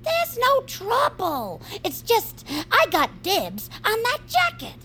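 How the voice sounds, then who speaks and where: A very animated little bully creature, high and smug. She drawls her threats in a slow, mock-sweet taunt, in the manner of a family audio drama villain. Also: slight background noise, heavily acted. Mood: mock-sweet menace. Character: smug bullying peepkin henchwoman, a small creature. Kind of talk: audio fiction acting.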